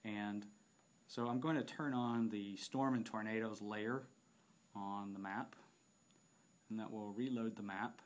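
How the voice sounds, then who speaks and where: A man narrating an unscripted software demonstration, explaining step by step with halting phrasing and repeated words. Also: lowish voice, fairly even intonation. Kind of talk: unscripted app tutorial narration.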